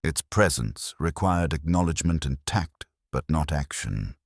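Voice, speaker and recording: Male narrator for wry literary mystery: extremely low voice, dry close recording, measured scripted delivery. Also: animated, no echo. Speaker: audiobook narrator.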